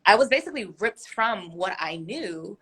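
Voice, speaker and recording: A woman's voice: medium pitch, lively intonation, normal speed, fairly bright tone, husky. A woman whose first language is Korean, speaking as a guest in a casual interview over a video call, earnest and reflective. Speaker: guest, business owner.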